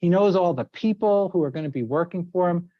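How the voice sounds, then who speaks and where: A man's voice: high-pitched, brisk, no echo. Middle-aged guy from near new york, seminar talk, keeps restarting with "uh, you know", dry close sound. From outside New York City. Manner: conversational, many fillers and restarts. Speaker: seminar speaker. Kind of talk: informal talk with anecdotes. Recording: dry, slightly dull sound.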